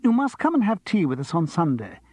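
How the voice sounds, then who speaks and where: A man's voice: a little high, wildly swooping pitch, brisk, darkish tone, slightly rough, no room sound, voice pushed up, heavily acted. A man voicing a gushing, affected theatrical actress who coos and calls everyone darling, in a storybook reading. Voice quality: cooing, affected. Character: gushing theatrical actress, middle-aged woman.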